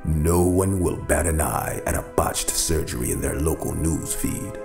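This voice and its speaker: Sinister back-alley doctor, older: bottom-register, unhurried, formal menace, music underneath. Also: male voice, very deep voice, creaky, full character voice. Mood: ominous, resolute. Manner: slow, deliberate, formal. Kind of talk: cyberpunk radio drama acting. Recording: roomy echo with music behind.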